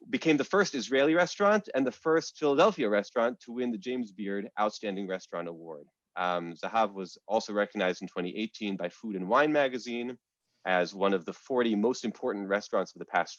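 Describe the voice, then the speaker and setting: A man hosting and introducing guests over a video call, speaking in a measured way with frequent "uh" fillers. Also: lowish voice, fairly bright tone, no room sound. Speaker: academic host and moderator. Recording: dull, lo-fi video call audio.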